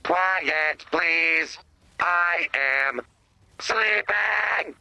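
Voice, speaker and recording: An actor playing a menacing, deadpan slasher robot in a video game, speaking in terse, ominous statements. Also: male voice, high-pitched, extremely slow, vocal fry, a little hiss or hum, exaggerated voice, low-quality recording. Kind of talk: scripted video game voice acting.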